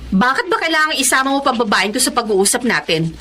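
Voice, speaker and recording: A woman's voice: fairly high pitch, sing-song, fast talker. An actress playing a jealous, insecure young girlfriend in a radio drama, with a Filipino accent and music behind her. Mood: indignant. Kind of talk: Tagalog radio drama acting.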